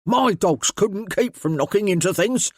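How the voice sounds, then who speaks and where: Man voicing a gruff belligerent rat-catcher. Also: medium pitch, expressive, moderate pace, no room sound, studio quality, voice pushed up, lightly acted. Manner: coarse, gruff working-class speech.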